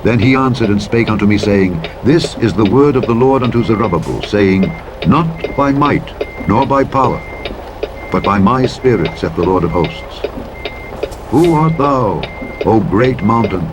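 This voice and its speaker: A man reads the King James Bible aloud as a narrator, in a solemn, deliberate, declamatory style with measured phrasing. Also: fairly low pitch, lively intonation, noisy background. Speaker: scripture narrator. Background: truck driving sounds.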